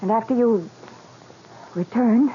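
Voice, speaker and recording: Muffled old-radio anxious, high-voiced wife. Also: female voice, slow pace. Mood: uneasy, insistent. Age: middle-aged. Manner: earnest, pleading, melodramatic. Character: anxious middle-aged doctor's wife, fearful, insistent mother. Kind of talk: 1940s radio acting. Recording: muffled noisy 1940s radio recording.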